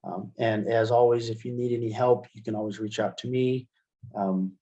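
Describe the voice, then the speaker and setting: A middle-aged American man giving a casual, step-by-step software demonstration as a webinar trainer, speaking into a headset. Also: lowish voice, fairly even intonation, moderate pace, highs cut off. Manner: explanatory, step-by-step. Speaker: assistive technology trainer.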